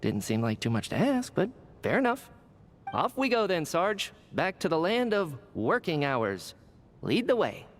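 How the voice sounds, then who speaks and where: Cheeky, theatrical scientist in a reverberant hall. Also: male voice, on the high side, wildly swooping pitch, measured pace, slight background noise, heavily acted. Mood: wheedling, resigned. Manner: breezy, teasing, theatrical delivery. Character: playful work-shy scientist, cheeky and theatrical young man. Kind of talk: video game voice acting.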